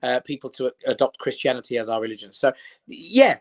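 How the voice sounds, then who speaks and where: A man's voice: medium pitch, expressive, fairly quick. A British-born man, Armenian his first language, chatting upbeat with lots of fillers as a comedian guest. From Britain. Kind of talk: casual chat.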